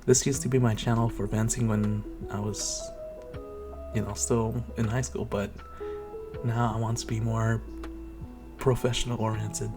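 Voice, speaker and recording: A man's voice: on the low side, flat delivery, a little slow, music in the background. A young man talking casually to his listeners as the creator of an audio channel. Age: young adult. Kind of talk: casual intro addressed to listeners.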